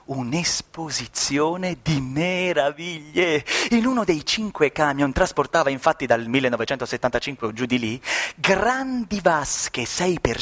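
A man's voice: high voice, a bit bright. A man narrating a story as a stage actor in a radio play, with a dramatic, ironic delivery that savours each description.

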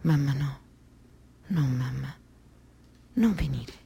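An actress playing a tormented, doomed young daughter in an intense, poetic radio drama monologue. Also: female voice, rumbling low, slow pace, creaky, very noisy, full character voice. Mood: hushed, grieving.

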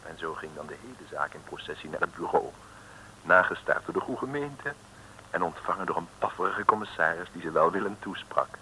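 A man's voice: deep voice, a little fast, bright, forceful tone, rough recording. A man narrating a comic radio serial from a script in a tongue-in-cheek, mock-formal way. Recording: vintage radio recording, faint noise.

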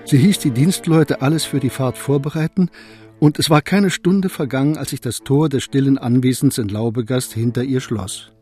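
A male narrator tells a literary story for a radio play. His voice is mellow and medium in pitch, with faint music behind the scripted narration. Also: moderate pace.

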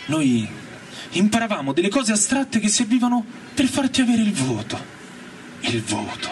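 A man reading a first-person story aloud in a theatrical, colloquial way, drawing out words for emphasis with pauses. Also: high-pitched, big pitch swings, a little hiss or hum. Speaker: actor reading a novel aloud. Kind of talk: dramatic first-person literary reading over live music. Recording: distant mic, loud music over voice. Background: loud guitar and organ music.

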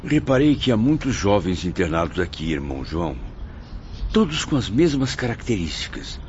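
A man's voice: lowish voice, big pitch swings, normal speed, a little mellow, slight background noise. An actor playing a compassionate, horrified male spirit in a radio drama, with emotional, dramatic delivery and music behind him. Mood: somber, observing. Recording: dull lo-fi audio under music.

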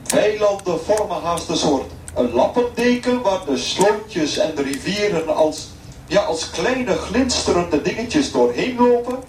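Distant, echoey, drifting airline captain. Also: male voice, sits high, lively intonation, a little slow. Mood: languid, distracted. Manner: hesitant, drifting, rambling. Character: languid, distracted airline captain making cabin announcements. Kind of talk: satirical radio play acting. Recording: distant echoey voice, faint cabin noise.

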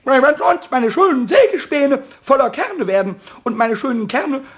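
A little male kobold for a children's radio play, squeaky and high with very lively swings in pitch. He is cheeky and stubborn, repeats himself in his excitement and pleads like a whiny child. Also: moderate pace. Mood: eager, pleading.

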